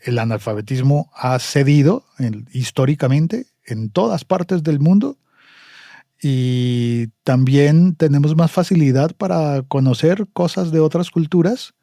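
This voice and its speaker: A man from Colombia, a guest in a casual podcast chat, speaking in a measured, reflective way. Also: on the high side, warm tone, dry recording, studio quality.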